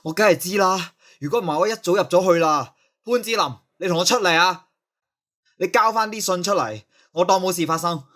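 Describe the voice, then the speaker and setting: A man's voice: sits high, fairly quick, a bit bright. A man playing a strict, suspicious school discipline master in an audio drama, scolding and interrogating. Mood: stern, accusing. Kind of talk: Cantonese radio drama acting.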